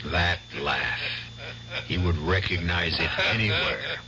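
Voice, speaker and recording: A man narrates a radio mystery in a measured, ominous way. His voice is fairly low and he speaks very slowly. The old broadcast sounds dull and lo-fi, with music behind. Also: lively intonation, sharp tone, slight background noise. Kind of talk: scripted dramatic narration.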